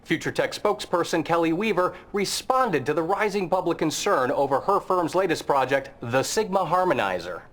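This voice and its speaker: An actor playing a TV news anchor reading the news in a measured, formal way. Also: male voice, on the high side, animated, natural voice. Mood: neutral. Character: polished male TV news anchor.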